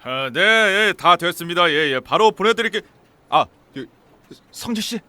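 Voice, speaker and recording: A flustered, bright-voiced young office man. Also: high voice, expressive, unhurried, dry recording, full character voice. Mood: busy, flustered. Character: flustered, friendly, chatty young male office worker. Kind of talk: Korean audio drama acting.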